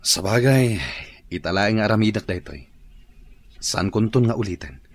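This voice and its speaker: A man playing an easygoing, flirty married man with a husky voice in an Ilocano radio drama. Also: fairly low pitch, expressive, normal speed, dry recording. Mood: casual, agreeable.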